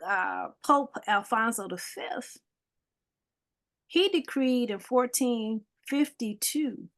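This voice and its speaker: A woman's voice: a little high, animated, slow, a bit bright. A woman, guest and foundation director, explaining history in an interview with frequent ums and tag questions. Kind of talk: interview answers.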